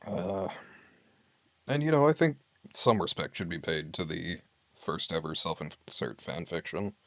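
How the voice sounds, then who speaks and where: Low-voiced man chatting dry and close. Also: measured pace, fairly bright tone, no echo. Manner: candid, wry, reads list items aloud and comments. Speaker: streamer. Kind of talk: casual chat with viewers. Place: home streaming setup.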